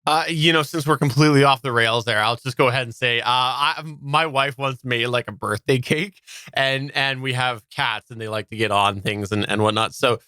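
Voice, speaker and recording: A man hosts a podcast in a bright, forward voice of medium pitch. He keeps up casual, joking banter, recorded dry and free of echo. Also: normal speed, clean tone. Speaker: podcast host.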